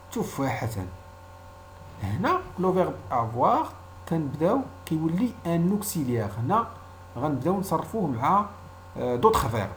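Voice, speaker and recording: A man's voice: fairly high pitch, slight background noise. A man with a Moroccan accent, a native Arabic speaker, giving an informal language lesson as a teacher, explaining didactically and repeating example phrases.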